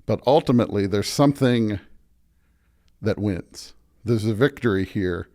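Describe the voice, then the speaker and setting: A man's voice: fairly low pitch, measured pace, dark tone. A man speaking as a pastor and podcast guest, conversational but preaching, emphatic and often checking in with "right?".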